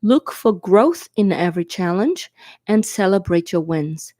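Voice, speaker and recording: A woman's voice: mid-range voice, expressive, measured pace, dark tone, dry recording, clean studio sound. A woman whose first language is Portuguese gives a chatty tarot reading, congested and coughing from allergies or a cold. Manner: chatty, reassuring, often asks "okay?". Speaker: tarot reader. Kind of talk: casual tarot reading to camera. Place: indoors at a table.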